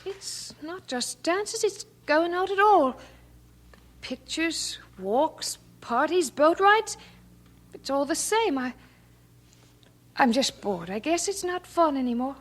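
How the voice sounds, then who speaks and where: Gladys, high-voiced earnest young maid. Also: big pitch swings, slow, full character voice. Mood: bored, wistful. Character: earnest, restless young London parlour maid, twenty-two. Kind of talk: radio drama acting.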